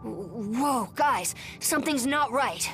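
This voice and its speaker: Lively, casual young spirit. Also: female voice, a little high, slow pace, soft background music, heavily acted. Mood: alarmed. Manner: informal, expressive. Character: spunky, casual, blunt young great spirit. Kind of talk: dramatic video game voice acting.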